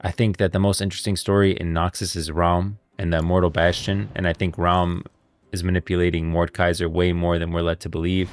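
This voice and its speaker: Guy streamer w a low voice geeking out on game lore, emphatic n opinionated, music going behind him. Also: dry recording. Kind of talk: casual enthusiastic lore commentary, unscripted.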